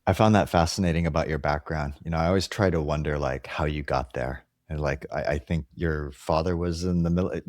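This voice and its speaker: A man from Hawaii hosts a podcast interview with a very deep, low voice. He rambles a little, filling pauses and restarting his sentences. The recording is close and dry, with no room sound. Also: no echo. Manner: rambling, many fillers and restarts. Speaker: podcast host. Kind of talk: casual interview.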